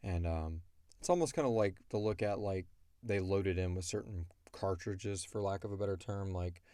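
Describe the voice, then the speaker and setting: A man's voice: low-pitched, moderate pace, mellow, dry recording, studio quality. A man chatting casually as a podcast co-host, rambling and informal as he explains things. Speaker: podcast co-host and audio drama creator.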